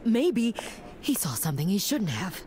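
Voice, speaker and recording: A woman's voice: medium pitch, very animated, normal speed, noisy background, natural voice. A woman voicing a stern, protective agent and instructor in a video game, dry, sardonic and authoritative. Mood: grave. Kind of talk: animated video game acting.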